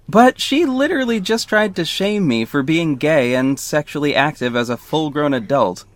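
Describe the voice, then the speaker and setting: A man's voice: mid-range voice, no room sound, lightly acted. Man as a wry confiding narrator, close and dry. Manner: confiding, snarky asides. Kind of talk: first-person scripted narration in audio drama.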